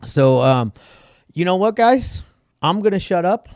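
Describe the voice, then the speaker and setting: A man's voice: high voice, big pitch swings, a little slow, dry recording, clean studio sound. A man hosting an online recovery meeting, talking casually and rambling with repeated words. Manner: rambling, repeats himself, gets loud when hyping the guest. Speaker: podcast host, parent.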